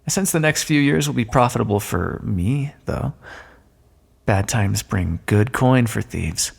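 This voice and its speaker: A man playing a smug, sardonic thief in an audio roleplay, speaking with mocking mock-courtly politeness and drawn-out emphasis in a long echo. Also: medium pitch, animated, gravelly, breathy edge, heavily acted. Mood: gloating. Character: smug, sardonic male thief, gloating at a fallen monarch. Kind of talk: scripted audio roleplay, theatrical monologue. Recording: long echoing reverb.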